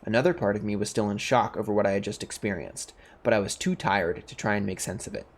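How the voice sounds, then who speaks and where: A man's voice: lowish voice, fast talker. A man who hosts gaming videos, reading story text aloud and commenting casually.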